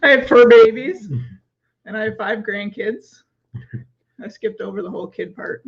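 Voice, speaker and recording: A woman's voice: medium pitch, moderate pace. A woman in her fifties co-hosting a livestream, chatting freely and laughing often during a question game. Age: 50s. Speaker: co-host of a livestream. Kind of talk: casual question-game chat. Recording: dull lo-fi stream audio.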